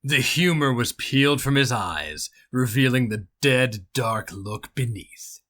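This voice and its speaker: A man giving a slow, intense dramatic reading of a dark fantasy story. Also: mid-range voice, lively intonation. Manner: slow, brooding, intense delivery. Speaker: narrator.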